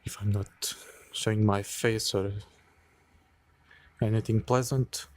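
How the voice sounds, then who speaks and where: A man in an unedited late-night vlog, speaking quietly and hesitantly with many pauses and fillers, cold and shivering a little into a handheld phone outdoors. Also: on the low side, flat delivery. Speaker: vlogger. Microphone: phone held by hand.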